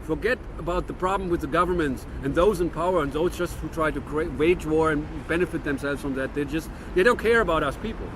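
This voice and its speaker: A man's voice: on the high side, fast talker, hardly any pauses, noisy background. A man in his fifties from Germany with a German-American accent, musing earnestly to camera in a reflective monologue with frequent restarts. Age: 50s. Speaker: vlogger.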